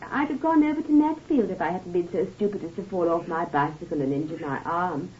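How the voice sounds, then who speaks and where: A woman's voice: lowish voice, fairly quick, darkish tone, slight background noise, lightly acted. An actress playing a genteel, guarded woman who manages a farm, speaking carefully and insistently in an old radio drama. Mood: pained, polite. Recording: muffled, band-limited vintage radio recording.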